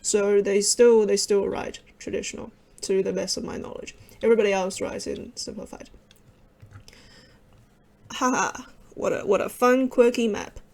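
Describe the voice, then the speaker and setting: A young woman, Cantonese her first language, talks through maps in a loose, rambling way, often breaking off into wry asides. Her voice sits in a middling register with plenty of gravelly vocal fry. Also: mid-range voice, fairly even intonation, normal speed, darkish tone. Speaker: video creator explaining with maps. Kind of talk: casual informal explainer over maps.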